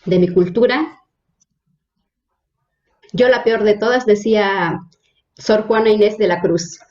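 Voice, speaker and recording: A religious sister from Hidalgo, Mexico, whose first language is Nahuatl, introducing herself in an interview with a hesitant manner and many fillers. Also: female voice, mid-range voice, takes it slowly, darkish tone, echoey room, dull audio. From Mexico, Hidalgo state. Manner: hesitant, frequent fillers and restarts.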